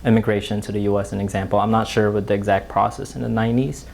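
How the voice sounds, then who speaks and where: A man from the Philippines, an audience member, asking a prepared question in an earnest, slightly halting way. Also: lowish voice, steady tone, vocal fry, darkish tone, a little hiss or hum.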